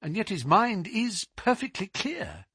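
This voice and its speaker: A man's voice: high voice, big pitch swings, a little slow, bright, forceful tone, breathy edge, dry recording, studio quality, voice pushed up, full character voice. A man voicing an earnest, anxious, deferential assistant in a storybook reading, respectful and pleading. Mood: apologetic, worried. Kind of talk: dramatised audiobook reading.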